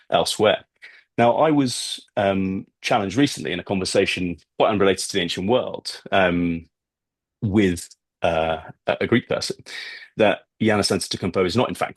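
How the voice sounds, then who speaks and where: A British man delivers an academic lecture in a deep, husky voice, close and dry. His delivery is hesitant and discursive, moving between prepared text and asides. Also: dry recording. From Britain. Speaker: guest lecturer, researcher of ancient history. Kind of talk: academic talk with slides, semi-scripted.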